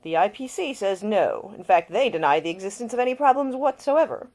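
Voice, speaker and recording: A woman voicing a composed, probing older gentleman, an uncle and lord who speaks formally and deliberately in an audiobook story. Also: mid-range voice, lively intonation, pitched above the performer's own voice, lightly acted. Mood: grave, formal.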